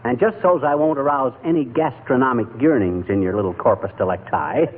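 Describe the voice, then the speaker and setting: A man's voice: medium pitch, brisk, natural voice. An actor playing a blustery, flustered but good-hearted everyman husband in 1940s radio comedy, folksy and given to stammering. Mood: apologetic. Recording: muffled, noisy 1940s radio recording.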